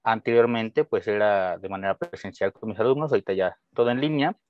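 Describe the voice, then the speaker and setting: A man's voice: lowish voice, a little fast, smooth, no room sound. A young Mexican man with a Mexican Spanish accent, a guest teacher answering casually and friendly, with hesitations and restarts. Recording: dull lo-fi dry sound.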